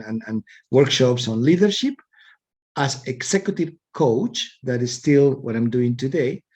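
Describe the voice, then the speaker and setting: A man's voice: mid-range voice, dark tone. A middle-aged man from Argentina with an Argentine Spanish accent, a guest in an interview, speaking thoughtfully and often repeating words as he searches for phrasing. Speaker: guest, executive coach. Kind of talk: casual interview talk.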